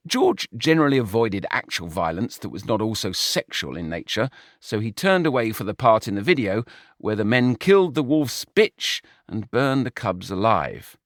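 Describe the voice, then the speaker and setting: Expressive male story narrator. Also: on the low side, moderate pace, no echo, clean studio sound. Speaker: audiobook narrator.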